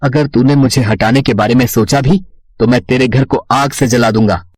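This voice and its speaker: Man voicing a husky, spiteful magical talking mango. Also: medium pitch, steady tone, normal speed, darkish tone, no echo, natural voice. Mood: threatening. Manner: taunting, menacing. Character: arrogant, spiteful magical talking mango, male, taunting and threatening. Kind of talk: acted villain in a moral bedtime story.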